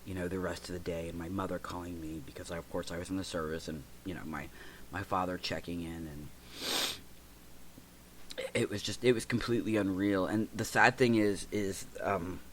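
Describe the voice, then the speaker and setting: Halting, congested low-voiced man. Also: fairly even intonation, a little hiss or hum, rough recording. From United States. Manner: halting, with many fillers and restarts. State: stuffy and congested. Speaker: podcast host. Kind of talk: personal reflective talk.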